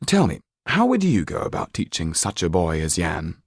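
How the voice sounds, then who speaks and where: Guy reading as posh-mannered banker gent in an audiobook, deep voice, polite but in charge, dry sound. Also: huge pitch swings, moderate pace, breathy edge, dry recording, full character voice. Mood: confiding. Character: worried, well-bred gentleman banker, kindly and direct.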